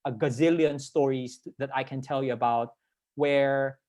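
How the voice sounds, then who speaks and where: A man's voice: mid-range voice, fairly even intonation, measured pace, a little mellow. A young man from the Philippines talking casually as a panelist on a video call, rambling and repeating words for emphasis. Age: young adult. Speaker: panelist sharing work experience. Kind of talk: casual spoken panel talk.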